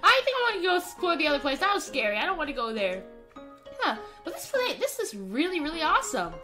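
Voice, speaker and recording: A woman with a very high, animated voice roleplays her way through a video game. She talks hesitantly, stammering as she goes, in a bright tone with faint music behind her. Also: fast talker. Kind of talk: in-character game roleplay commentary.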